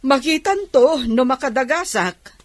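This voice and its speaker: A woman's voice: high voice, lively intonation, unhurried, no room sound. A woman acting as an envious, touchy middle-aged aunt in an Ilocano radio drama. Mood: flustered.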